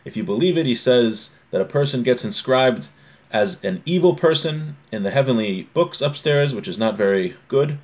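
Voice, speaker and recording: A man's voice: medium pitch, animated, moderate pace, a little mellow. A man in his forties teaching an informal religious lesson, reading aloud and explaining, often repeating and rephrasing. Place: small home room, indoors.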